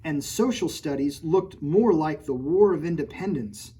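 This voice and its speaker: Playful man reading to kids, mellow voice. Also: on the high side, lively intonation, unhurried, breathy edge. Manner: playful, jokey, mock-outraged, talking straight to children. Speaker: children's author reading his own book. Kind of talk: storytime read-aloud with playful asides to kids.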